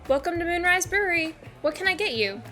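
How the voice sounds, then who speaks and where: A woman's voice: very high-pitched, animated, fast talker, pushed and bright, soft background music, heavily acted. A woman playing a perky, teasing bartender in an audio drama. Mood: friendly.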